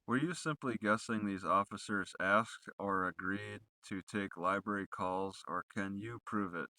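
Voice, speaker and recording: Man, low-pitched, bright forceful tone, accusatory leading questions, cross-examination style, close dry sound. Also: unhurried, no echo, rough recording.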